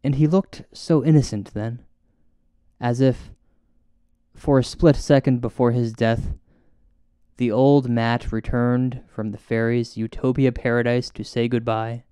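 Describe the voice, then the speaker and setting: A man hosting and narrating a scripted comic horror story in a deadpan, mock-solemn way. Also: medium pitch, unhurried, clear, resonant tone, no echo. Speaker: host and storyteller. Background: music.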